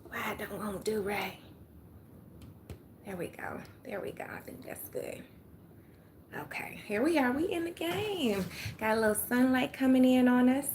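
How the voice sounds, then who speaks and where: Older Southern woman, phone livestream host, slightly high voice, folksy chatter, faint room noise. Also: expressive, moderate pace, long pauses. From Atlanta, Georgia. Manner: chatty, folksy, greets viewers, drops to a murmur at times. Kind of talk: casual livestream chat. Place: home room with sunlight.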